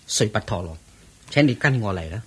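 An actor playing a protective, dutiful monk attendant in a radio drama, with a husky voice. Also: male voice, lowish voice, expressive, a little fast, poor audio. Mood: dutiful, concerned. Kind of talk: Cantonese radio drama acting.

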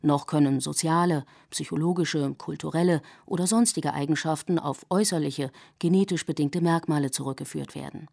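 A woman in her forties, a native English speaker raised in Germany, reading her own radio essay in a measured, reflective way. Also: deep voice, steady tone, vocal fry, a little mellow. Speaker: essay author and narrator. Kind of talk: scripted radio essay narration.

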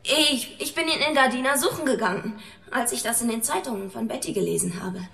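An actress playing a frightened, evasive young runaway in a German radio drama, halting and pleading. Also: female voice, fairly high pitch, lively intonation, gravelly, a bit bright. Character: frightened, evasive young runaway woman under police questioning. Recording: roomy, echoing studio interrogation scene.

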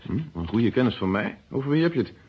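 A man acting a composed, principled police inspector in an old radio drama, speaking in a measured, courteous and authoritative way. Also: medium pitch, big pitch swings, breathy edge. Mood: puzzled, probing. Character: composed, principled male police inspector, friendly but firm. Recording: dull, band-limited old radio recording.

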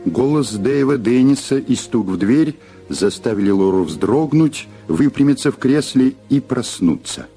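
A man's voice: medium pitch, animated, unhurried, mellow. A man narrating a Russian audio drama in a dramatic scripted storytelling style. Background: music behind the voice.

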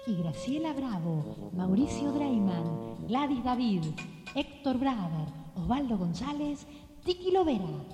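A woman's voice: a little high, very animated, slow pace. A woman reading scripted titles and credits as a radio serial announcer. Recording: echoey reverb under loud music.

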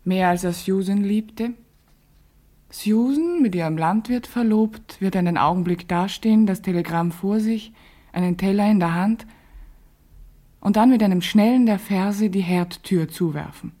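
A woman playing a grieving, dreamy woman in a literary radio play, speaking a solemn, lyrical monologue in a breathy, hushed voice. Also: mid-range voice, natural voice. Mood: bitter, musing. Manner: solemn, measured, lyrical delivery. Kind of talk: poetic interior monologue, German radio drama.

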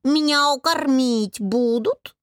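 A woman's voice: high voice, slow pace, dry recording, studio quality, voice pushed up. A woman voicing an unflappable, demanding talking cat in a fantasy audiobook, insistent and nagging.

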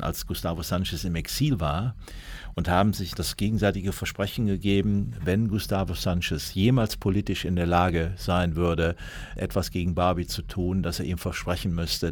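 A man telling a story in an engaged, explanatory interview style. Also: sits low.

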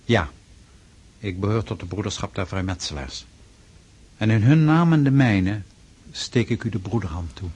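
An actor playing a stern, pious Russian freemason in a radio drama, speaking formally and insistently with a husky voice. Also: male voice, on the low side, expressive, a little mellow. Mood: grave, courteous. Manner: formal, measured, preaching, insistent.

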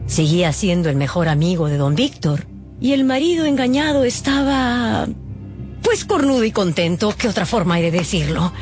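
A woman's voice: medium pitch, darkish tone. A woman narrating a dramatised story expressively, with wry, gossipy asides. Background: background music.

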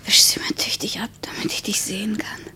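An actress playing a self-conscious, vulnerable woman in love in a radio play, often hushed and close to whispering. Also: mid-range voice, level tone, brisk. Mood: fragile, insistent. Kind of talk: intimate radio play acting.

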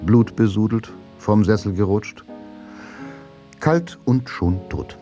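A man's voice: on the low side, lively intonation, slow, dark tone, lo-fi sound. A man narrating a radio drama in a scripted literary style, with music behind his voice.

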